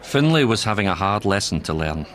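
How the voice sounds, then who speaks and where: A senior Scottish doctor telling the story in a radio play. His voice is low and deep, his delivery measured, grave and wry. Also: sing-song, a bit bright. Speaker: actor and narrator in a radio drama.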